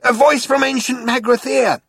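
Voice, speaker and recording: A man voicing a brash, excitable space adventurer, impatient, cocky and dismissive. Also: on the high side, brisk, husky, no echo, studio quality, voice pushed up. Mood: excited.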